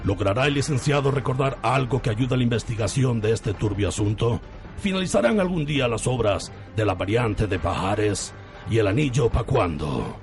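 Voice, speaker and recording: A man's voice: medium pitch, animated, normal speed, soft background music. A man with an accent from Spain, hosting and narrating theatrically on the radio. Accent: Spanish, from Spain.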